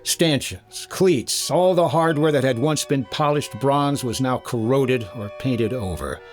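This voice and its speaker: A man's voice: medium pitch, measured pace, faint music behind, close to an everyday voice. A man playing a cool, tough investigator in 1950s radio detective style, clipped, dry and dramatic. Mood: tense narration. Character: cool, wry, tough male investigator and narrator.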